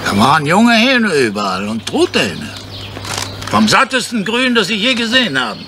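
An old gardener in a vintage radio crime play, humble yet proud of his craft. His rambling, wistful talk swings up and down in pitch. Birds and clear noise sit behind him on the old recording. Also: huge pitch swings, moderate pace. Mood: wistful pride. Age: older. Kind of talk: German radio crime drama acting.

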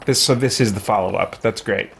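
A man's voice: on the low side, brisk, vocal fry, fairly bright tone, slightly rough. A man in his thirties hosting a let's-play with casual, chatty commentary. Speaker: host of a gameplay stream. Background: game music.

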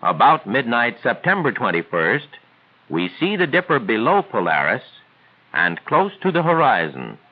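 A man reads scripted 1940s training film narration in a measured, formal, instructional way. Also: medium pitch, huge pitch swings, slightly rough. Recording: tinny band-limited 1940s film soundtrack.

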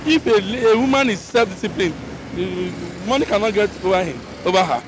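An older Nigerian man being interviewed on the street, explaining his view in a measured way. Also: high voice, expressive. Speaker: passerby interviewee. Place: busy city roadside outdoors. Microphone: handheld mic held by interviewer. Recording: outdoor street with traffic noise.

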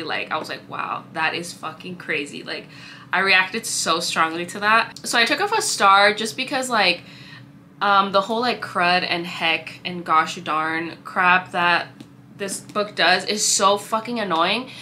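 A woman's voice: fairly low pitch, sharp tone, slight background noise. A young woman chatting casually about books in a reading vlog, with a slightly congested allergy voice and a constant stream of "like" and "um". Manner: chatty, filler-heavy with frequent "like" and "um". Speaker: book vlogger. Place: indoor room with bookshelves. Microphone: fixed camera at desk distance.

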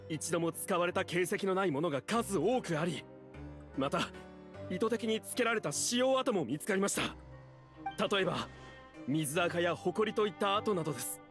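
A man's voice: sits high, animated, moderate pace, fairly bright tone, faint music behind. An actor playing an earnest young male guard in a game, reporting formally and dutifully to his superior. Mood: urgent. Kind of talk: Japanese game voice acting.

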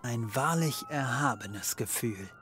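Snarky private eye in an audio drama, mid voice, flippant and theatrical, faint hiss. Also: male voice, medium pitch, animated, takes it slowly, a bit bright. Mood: gloating mockery. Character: sarcastic, cynical male private detective, ex-cop. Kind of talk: comedy audio drama acting.

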